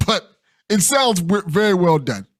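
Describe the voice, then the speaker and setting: A man's voice: high-pitched, big pitch swings, slow pace. A man hosting a casual podcast chat, often repeating his first words as he starts a sentence. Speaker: podcast host, interviewer.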